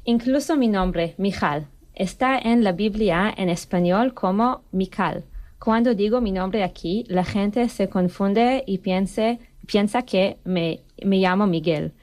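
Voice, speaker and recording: A woman's voice: mid-range voice, takes it slowly, a little mellow. Hebrew-speaking woman learner, careful delivery. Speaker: adult language student. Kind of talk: prepared explanation.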